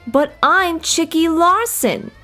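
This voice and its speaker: A woman's voice: very high voice, takes it slowly, pushed and bright, soft background music, full character voice. A woman playing a sweet, naive, slightly dim nightclub showgirl in a 1940s-style noir radio comedy. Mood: puzzled.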